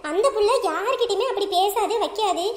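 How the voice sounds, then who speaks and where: A woman's voice: extremely high pitch, fairly quick. A woman playing an anxious, sympathetic village neighbour in a family drama, pleading and consoling. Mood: shocked concern. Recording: echoey room sound.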